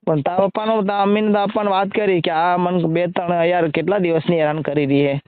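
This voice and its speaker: A man's voice: high voice, fairly even intonation, fast. A man arguing casually over the phone in an exasperated, scolding way. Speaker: social media video creator taking a call. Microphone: phone call. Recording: tinny narrow-band phone line.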